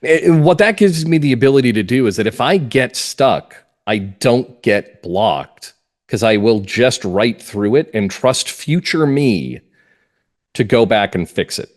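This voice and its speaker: A man's voice: mid-range voice, animated, unhurried. A middle-aged man talking at length in a conversational interview, explaining things as a guest. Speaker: guest, mystery playwright and script consultant.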